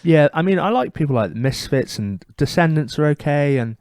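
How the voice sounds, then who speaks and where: A man's voice: fairly high pitch, expressive, normal speed, darkish tone, dry recording, studio quality. A British man co-hosting a comedy podcast, casually trading opinions in conversation. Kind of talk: casual chat about opinions.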